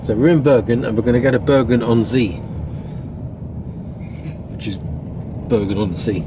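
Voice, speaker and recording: A man from Britain rides along in a car and talks about the streets outside. He cracks jokes and teases the driver in an everyday mid-range voice. Car noise runs clearly under the recording. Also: mid-range voice, moderate pace. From United Kingdom. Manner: joking, teasing. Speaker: vlogger. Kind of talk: casual travel vlog chat. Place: in a car, passenger seat.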